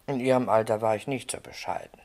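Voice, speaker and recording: An actress in a radio drama playing an imperious, worldly older general's wife, blunt and lusty, with a husky, breathy, theatrical drawl. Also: female voice, very deep voice, fairly even intonation, fast, darkish tone. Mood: sly, appraising. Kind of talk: German radio drama acting.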